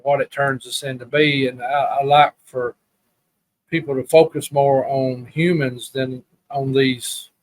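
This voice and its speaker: A man's voice: mid-range voice, little pitch movement, a little slow, no echo, low-quality recording. Folksy man with an Alabama Southern accent. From Alabama, USA. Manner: folksy, rambling, plain-spoken. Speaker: podcast guest. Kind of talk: casual reminiscing chat.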